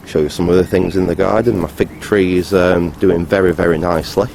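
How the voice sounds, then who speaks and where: Low-voiced man, halting delivery. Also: steady tone, gravelly, darkish tone, a little hiss or hum. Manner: halting, rambling, matter-of-fact. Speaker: vlogger, hobbyist restorer. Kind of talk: casual unscripted video tour.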